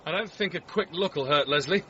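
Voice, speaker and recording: An actor playing an unflappable, courteous English gentleman athlete in a radio drama, well-spoken and understated, with a British accent. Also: on the high side, brisk, breathy edge, lo-fi sound. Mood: curious, composed.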